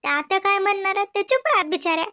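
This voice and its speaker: A woman's voice: extremely high pitch, animated, very fast. A woman voicing a young daughter-in-law who complains and pleads her case to the listener. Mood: complaining.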